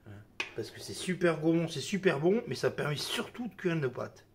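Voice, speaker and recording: Guy with a bit of a cold chatting thru a recipe, kinda high voice, pots and pans faintly behind. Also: animated, normal speed, slight background noise. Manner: chatty, filler-heavy with "hop" and "hein". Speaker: cooking video host. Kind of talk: casual cooking demonstration. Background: kitchen clatter of dishes and utensils.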